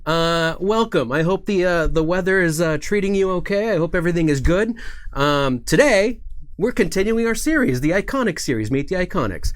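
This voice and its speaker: A man's voice: sits high, expressive, normal speed, smooth. A man with a cold hosting a livestream in a casual, hesitant, rambling chat style. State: sick with a cold. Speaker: livestream host.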